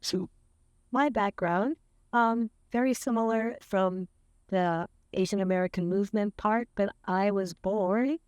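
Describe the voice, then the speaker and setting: A woman's voice: mid-range voice, a little mellow, smooth, dry recording, highs cut off. A woman in her seventies from California, earnestly recalling her upbringing in an interview, with many fillers. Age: 70s. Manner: slow, earnest, with fillers and light laughs. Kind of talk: reflective interview.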